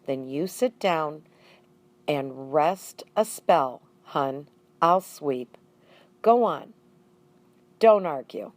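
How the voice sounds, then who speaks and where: A woman's voice: low voice, animated, very slow, pauses often, no echo, exaggerated voice. A woman voicing a kindly, folksy husband and father in a children's story, with emphatic phrasing.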